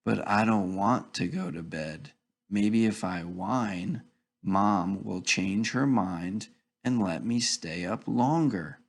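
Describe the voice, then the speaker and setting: A man's voice: lowish voice, slow, vocal fry, full character voice. A man voicing a whiny young child in a storybook, complaining and pleading to get their own way. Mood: whining, scheming.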